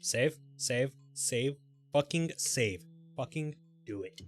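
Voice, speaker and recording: A man's voice: mid-range voice, lively intonation, takes it slowly, soft background music. A man livestreaming a video game with casual, playful commentary and offhand swearing. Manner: playful, profane, goofy vocal asides. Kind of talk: casual live gameplay commentary.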